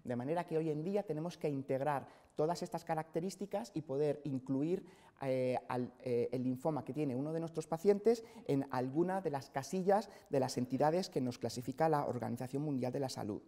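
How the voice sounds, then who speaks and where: A middle-aged man from central Spain giving a measured scientific lecture to colleagues in a hospital auditorium, pausing often on "eh". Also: fairly high pitch, warm tone. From Spain, Castilla-La Mancha. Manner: measured, explanatory, frequent "eh" fillers. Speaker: hematologist lecturer. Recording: long hall reverb from a lecture hall.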